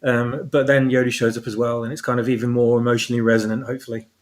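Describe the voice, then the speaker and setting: A man's voice: medium pitch, little pitch movement, quick pace. A man speaking as a guest creator in a casual discussion, often rephrasing as he works toward his point. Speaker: guest, audio drama creator.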